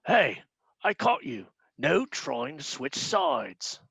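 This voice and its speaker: A man playing a gruff, mocking orc army captain with a gravelly, husky voice in a fantasy comedy table read. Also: on the high side, sing-song, takes it slowly, fairly bright tone, no room sound, full character voice.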